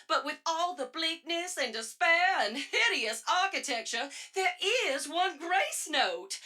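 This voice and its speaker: A woman voicing a sassy, gossipy female robot who narrates a video game with theatrical, drawn-out emphasis. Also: very high-pitched, bright, forceful tone, heavily acted. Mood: dramatic, wry. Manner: sassy, dramatic, drawn-out emphasis, third-person self-reference.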